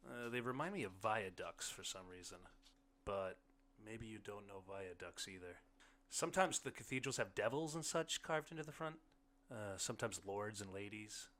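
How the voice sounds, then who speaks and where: Audiobook role: male reader as unsure everyman, halting and fumbling for words, bright forceful voice. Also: lowish voice, expressive. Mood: fumbling, earnest. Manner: hesitant, fumbling, searching for words. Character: awkward, unsure ordinary man struggling to explain. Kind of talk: audiobook character dialogue.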